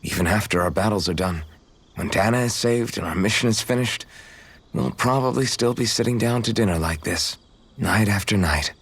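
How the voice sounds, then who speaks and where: Husky, earnest young swordsman. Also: lowish voice, moderate pace. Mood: warm, sincere. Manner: earnest, gentle, awkward when flustered. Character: earnest, easily flustered young male swordsman adventurer. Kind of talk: video game cutscene acting.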